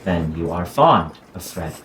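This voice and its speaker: A man playing an idle, lovelorn young gentleman in a period audio drama, bantering wryly with a wounded edge. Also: sits low, animated, a little slow, slight background noise. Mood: probing, disapproving.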